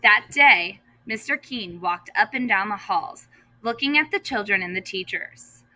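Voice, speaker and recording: A woman, a teacher, reading a children's story aloud expressively and deliberately, stressing repeated words. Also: mid-range voice, animated, bright, forceful tone, no room sound, poor audio. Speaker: first grade teacher. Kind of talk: children's story read-aloud with asides to pupils.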